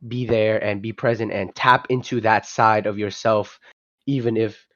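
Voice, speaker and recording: A man's voice: lowish voice, fairly even intonation, moderate pace, a bit bright, no room sound. A young man from Vietnam, a guest talking casually on an online call, hesitant and full of restarts and fillers. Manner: hesitant, many restarts and "you know" fillers. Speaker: guest, project founder. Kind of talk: casual podcast interview. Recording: dull lo-fi online call audio.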